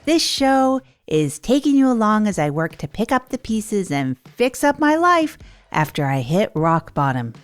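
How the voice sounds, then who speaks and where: A woman playing an earnest, hapless radio host in a comedy audio drama, rambling in a deliberate, self-deprecating way. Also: medium pitch, sing-song, faint music behind. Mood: earnest, wry. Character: Tog Chesterfield, earnest, hapless radio host rebuilding their life. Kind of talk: improvised comedy audio drama monologue, late-night radio parody.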